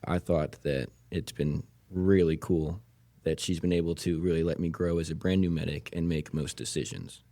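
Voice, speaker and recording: A young American man talking casually as a podcast guest, in a measured, articulate way. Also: low-pitched, steady tone, warm tone, no echo. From Georgia, US. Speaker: guest paramedic.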